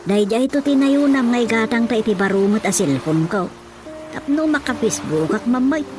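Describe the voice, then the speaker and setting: A woman's voice: fairly high pitch, animated, moderate pace, lightly acted. Actress as a practical Ilocano mother, music behind. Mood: firm, advising. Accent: Filipino, Ilocano. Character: practical, cautious mother of grown children. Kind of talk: Ilocano radio drama acting.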